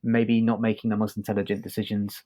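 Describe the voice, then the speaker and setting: Guy with a kinda low voice cohosting a review podcast, casual, halting, thinks out loud. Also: level tone. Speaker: podcast co-host reviewing audio drama.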